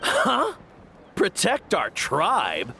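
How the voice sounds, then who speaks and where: A man's voice: high-pitched, huge pitch swings, drawn-out words, gravelly, sharp tone, slight background noise, exaggerated voice. A man playing a scornful, confrontational tribesman in a video game, sneering as he talks. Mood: mocking. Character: scornful, distrustful tribesman picking a fight.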